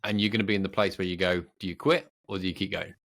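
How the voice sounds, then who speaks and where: A man's voice: low-pitched, steady tone, rapid-fire, a bit bright. A man co-hosting a podcast, talking in a casual, informal way and thinking aloud as he goes. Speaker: podcast co-host.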